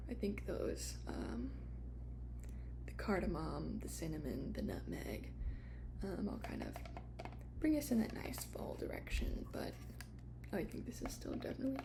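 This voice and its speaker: A woman doing a soft-spoken ASMR shop roleplay, explaining products in a casual, hesitant, unscripted way. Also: fairly low pitch, gravelly, noisy background. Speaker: ASMR creator playing a tea shop attendant.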